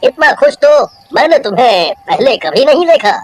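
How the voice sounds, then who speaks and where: A woman's voice: high voice, sing-song, moderate pace, dry recording. A woman playing a callous young husband, demanding and cowardly, with a dramatic cartoon delivery. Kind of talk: Hindi horror cartoon voice acting.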